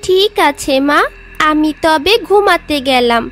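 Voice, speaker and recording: A woman's voice: high-pitched, expressive, takes it slowly, fairly bright tone, exaggerated voice. Woman voicing a little village girl. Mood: earnest. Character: young village girl, obedient daughter. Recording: faint background music.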